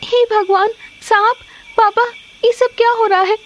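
A woman's voice: extremely high pitch, steady tone, unhurried, lo-fi sound, a little hiss or hum, voice pushed up. A woman voicing a timid, pleading young snake-girl daughter in a cartoon story. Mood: frightened, pleading. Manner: plaintive, pleading.

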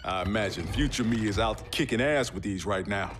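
An actor playing a gruff young soldier with metal arms in a video game cutscene. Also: male voice, lowish voice, brisk, a bit bright, slight background noise, close to an everyday voice.

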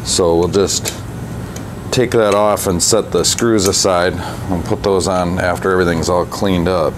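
Low-voiced man chatting while fixing a bike. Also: a little slow. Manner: relaxed, chatty, thinks aloud with fillers. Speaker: bike mechanic and channel host. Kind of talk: casual workshop narration. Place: indoor bike workshop. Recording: workshop noise behind the voice.

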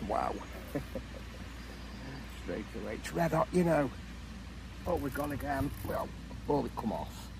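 A middle-aged man from England who vlogs his fishing, chatting to a camera on the bank of a lake outdoors, excitable and chuckling as he calls out his catches. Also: mid-range voice, unhurried, creaky, pauses often, noisy background. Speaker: angler vlogger. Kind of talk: casual fishing commentary to camera. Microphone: camera close by on the bank.

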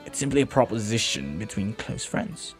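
Man acting a low-voiced, tender pirate captain. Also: very animated, soft background music. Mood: playful, teasing. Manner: soft, halting, tender, sometimes near whisper. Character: flirty, earnest male pirate captain, devoted and playful. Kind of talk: intimate audio roleplay acting.

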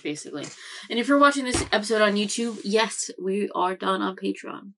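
A woman's voice: medium pitch, expressive, brisk, a bit bright, slight background noise, rough recording. A young woman hosting an anime reaction channel, rambling casually from a room at home, with lots of fillers and self-corrections. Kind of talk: casual chat recap.

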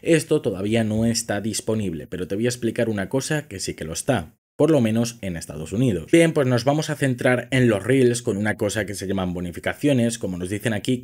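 A man's voice: on the low side, a little mellow. A man from walking the viewer step by step through a screen-recorded social media tutorial, speaking directly to the audience. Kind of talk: screen-recorded how-to walkthrough.

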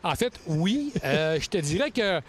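Middle-aged Quebec man, kinesiologist guest, earnest and explanatory, slightly raised pitch, recorded outdoors on a handheld mic. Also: sing-song, measured pace, a little hiss or hum. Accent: Quebec French. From Quebec, Saguenay-Lac-Saint-Jean. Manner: earnest, explanatory, with frequent restarts. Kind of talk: casual interview answers. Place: outdoors in a leafy park. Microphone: handheld mic held close.

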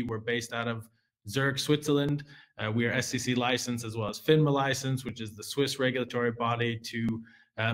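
A man's voice: medium pitch, fairly bright tone. A young American man, a junior relationship manager, presenting a finance webinar from an office webcam in a conversational way, with fillers and restarts. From United States.

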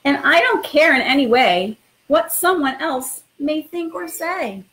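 A woman's voice: high-pitched, expressive, measured pace, fairly bright tone, low-quality recording. A woman in middle age, a school principal, talking informally and reading a picture book to children in an encouraging way. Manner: encouraging, addresses children directly.

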